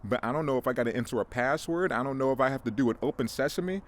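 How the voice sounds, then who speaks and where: A man's voice: mid-range voice, rapid-fire, pitched above the performer's own voice, lightly acted. A man voicing a confused hungry little bird, fretfully asking questions. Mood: baffled.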